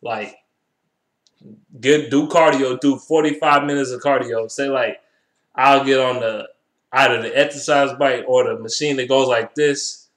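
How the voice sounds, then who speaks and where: A man's voice: medium pitch, fairly even intonation, unhurried. An American man in his twenties chatting casually to camera in slangy, profanity-heavy speech, often repeating himself. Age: 20s. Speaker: vlogger. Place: small bare indoor room. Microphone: webcam at arm's length. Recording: echoey room, webcam-style microphone.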